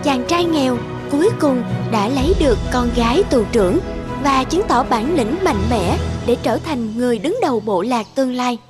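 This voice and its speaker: A woman tells a fable as scripted narration. Her voice is high, and loud music plays behind her throughout. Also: lively intonation, normal speed.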